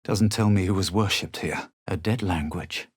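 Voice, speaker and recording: An actor playing a haughty, coolly superior fantasy adventurer in a video game, with a husky voice and deliberate delivery. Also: male voice, deep voice, no echo, clean studio sound. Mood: composed, wary. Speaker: video game player character. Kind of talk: scripted game voice lines, narration and remarks.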